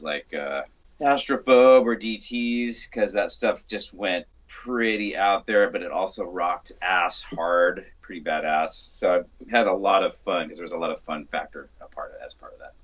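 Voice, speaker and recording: Deep-voiced man with a husky edge. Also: moderate pace, a bit bright. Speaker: band member being interviewed. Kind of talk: casual group interview chat. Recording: dull, muffled lo-fi sound.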